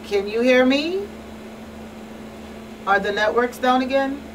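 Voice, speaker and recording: A woman hosts a live call-in radio show. Her voice is on the high side and her talk is informal and unscripted. She sounds a little away from the mic, with faint noise. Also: slow, far from the mic, poor audio.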